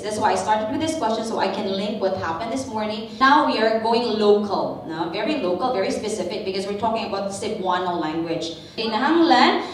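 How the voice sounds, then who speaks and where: A woman with a Filipino accent leading an interactive workshop, explaining points and checking with her listeners. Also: a little high. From Philippines. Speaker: workshop facilitator. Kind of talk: interactive lecture to participants. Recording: echoey room, distant mic, faint noise.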